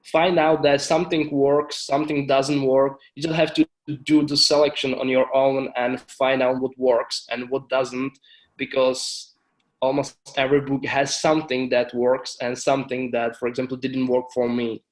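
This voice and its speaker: A man in his twenties from the Czech Republic, with a Czech accent, speaking careful, halting English as a body language expert in an interview. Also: mid-range voice, level tone, poor audio. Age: 20s. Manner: careful, halting non-native phrasing. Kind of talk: casual interview talk.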